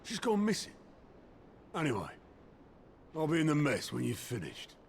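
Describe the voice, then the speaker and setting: A man playing a gruff, plain-spoken aide in a fantasy game, with a gravelly voice. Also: on the high side, huge pitch swings, normal speed, full character voice. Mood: apologetic. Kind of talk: dramatic fantasy game acting. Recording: loud noise behind the voice.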